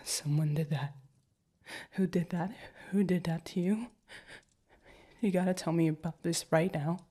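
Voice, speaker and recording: A young woman playing an anxious, protective girlfriend in a listener-directed audio roleplay, rambling and stammering, often sinking into hushed whispers. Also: fairly low pitch, slightly rough. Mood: angry worry.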